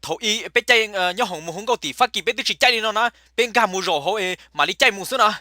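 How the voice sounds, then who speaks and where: A man's voice: high-pitched, quick pace, bright, forceful tone, dry recording, clean studio sound. A man voicing a talkative male character in a comic cartoon. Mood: chatty. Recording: clear background noise.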